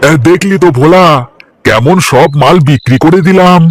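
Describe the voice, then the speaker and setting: A man voicing an eager, defensive village vegetable seller in a cartoon, chatty and folksy with drawn-out hesitations. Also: fairly high pitch, lightly acted. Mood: pleased. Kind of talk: cartoon voice acting.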